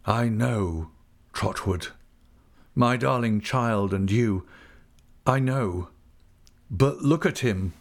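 A man voicing a distraught, self-loathing older gentleman in a story, impassioned and lamenting, with drawn-out words. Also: fairly low pitch, gravelly. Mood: despairing.

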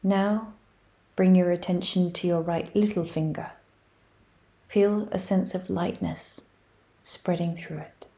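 A woman guiding a yoga nidra meditation, speaking softly and evenly with long pauses. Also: lowish voice. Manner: slow, soft and even, with long pauses. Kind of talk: guided meditation.

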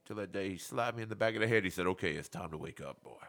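Deep-voiced, forceful male co-host; earnest preacher-style podcast talk. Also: rapid-fire, soft background music. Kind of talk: casual faith podcast chat.

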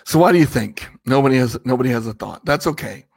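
A man with a slightly raspy voice hosting a casual livestream, rambling conversationally and asking viewers questions. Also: medium pitch, expressive, quick pace, a little mellow. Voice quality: a little raspy. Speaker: livestream host.

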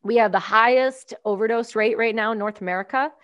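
Woman, from Canada, casual podcast chat, blunt, profane, ranting, higher-pitched. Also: fairly quick, a bit bright, clean tone.

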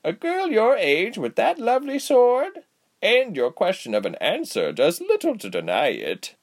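A man voicing a cheerful, giggly elderly village healer woman in a storybook, with a slow, kindly teasing delivery. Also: high-pitched, huge pitch swings, pitched above the performer's own voice, full character voice. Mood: curious, teasing. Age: older. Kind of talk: storybook character voice.

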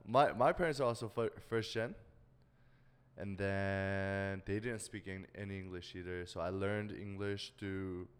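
Slangy young man, low smooth voice. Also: fairly even intonation, measured pace, big-room sound. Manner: slangy, filler-heavy, says "dude" and "you know what I mean". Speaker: podcast co-host. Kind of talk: casual podcast chat.

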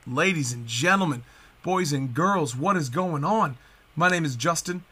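Man, 30s, upbeat podcast host, congested and nasal, brisk bright tone. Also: a little high, animated, slightly rough, soft background music. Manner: upbeat, chatty, rambling with restarts. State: stuffed-up nasal voice from a cold. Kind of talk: casual solo podcast chat.

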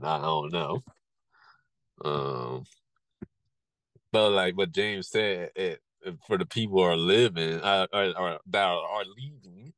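A man with a somewhat low voice talks on a casual podcast. He speaks haltingly and often repeats a word before he finishes his thought. The sound is dry and close. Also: fairly bright tone, dry recording. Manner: halting, repeats words while thinking. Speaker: podcast co-host. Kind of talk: casual chat.

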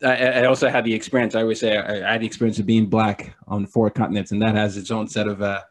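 A man's voice: on the low side, flat delivery, fast. A man from Nigeria, a podcast guest telling stories in a playful, joking way and laughing often. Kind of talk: casual storytelling interview.

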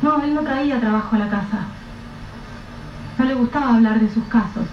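A woman playing a distraught young mother, fierce, swears, higher voice, noisy radio drama. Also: moderate pace, natural voice. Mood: pleading. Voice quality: swears and snaps in bursts. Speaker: lead character. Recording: roomy, dull, noisy radio-play recording.

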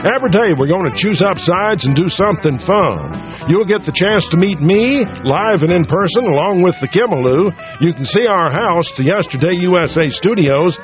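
A man's voice: high-pitched, expressive, normal speed, soft background music. Folksy man on lo-fi radio. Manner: folksy, drawling, homey. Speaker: radio station host. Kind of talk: folksy on-air promo and appeal for donations. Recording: dull lo-fi radio broadcast.

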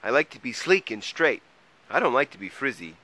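A man's voice: mid-range voice, big pitch swings, brisk, sharp tone, voice pushed up, rough recording. A man voicing a vain, fussy, fretful hairy troll in a children's story, with whiny, dramatic complaining.

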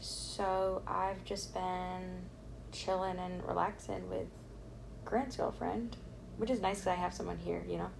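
Young woman, gravelly voice, giggly podcast co-host, faint noise. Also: fairly low pitch, little pitch movement. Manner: giggly, quick reactions. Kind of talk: casual chat.

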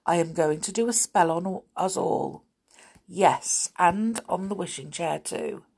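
A woman reading aloud and voicing a calm, unruffled male wizard. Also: lowish voice, measured pace, gravelly, husky, full character voice. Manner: calm, reassuring.

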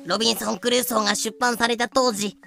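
Woman voicing a bright, prim know-it-all girl. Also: a little high, steady tone, quick pace, creaky, faint music behind. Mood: explanatory. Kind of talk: fan-made Japanese voice drama acting.

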